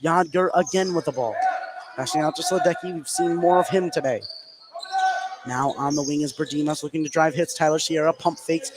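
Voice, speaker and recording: High-pitched male basketball play-by-play over gym noise. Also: brisk. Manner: excitable on big plays, narrating continuously. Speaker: play-by-play commentator. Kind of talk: live high school basketball commentary. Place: indoor school gym, courtside. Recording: noisy live gymnasium ambience.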